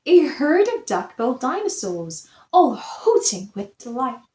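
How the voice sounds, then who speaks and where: High-pitched, animated woman storyteller. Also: unhurried. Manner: expressive, theatrical storytelling. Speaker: children's story reader. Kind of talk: animated read-aloud of a picture book. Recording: roomy echo.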